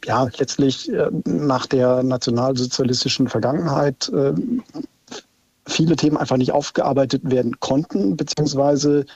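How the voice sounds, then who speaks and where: A man calling in to a radio show, making a firm, deliberate argument with many fillers. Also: mid-range voice, darkish tone, breathy edge, no room sound. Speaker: caller. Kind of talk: phone-in opinion. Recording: dull phone line.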